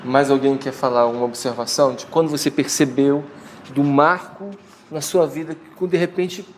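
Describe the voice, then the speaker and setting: A man's voice: medium pitch, fairly even intonation, moderate pace, slight background noise. A Brazilian man teaching a church class, prompting the group with questions. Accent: Brazilian Portuguese. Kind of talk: leading a church lesson discussion.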